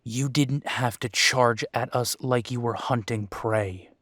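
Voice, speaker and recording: A man's voice: mid-range voice, fairly even intonation, takes it slowly, a bit bright, no echo, clean studio sound. A man playing a big, blunt, defensive werewolf brother in an audio roleplay, exasperated and bickering. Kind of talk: roleplay audio drama acting.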